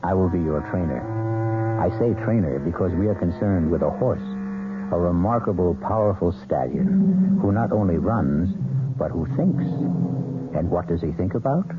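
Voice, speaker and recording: A man hosting and narrating a suspense radio show with deliberate, theatrical delivery. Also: deep voice, lively intonation. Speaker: host and narrator. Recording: phone-like old radio broadcast, loud music.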